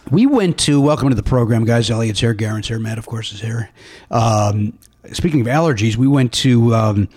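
A man's voice: medium pitch, expressive, moderate pace, a little mellow, husky. A man hosting a comedy podcast, chatting casually with a jokey, profane manner. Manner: jokey, profane, mock radio-DJ patter. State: says he is losing his voice. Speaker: podcast host.

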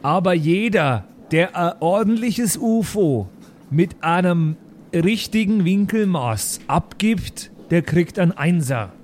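A man playing a fussy, sceptical maths teacher who speaks haltingly and deliberately in an improvised tabletop audio play. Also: sits high, expressive, darkish tone, slight background noise, pitched above the performer's own voice. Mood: instructing.

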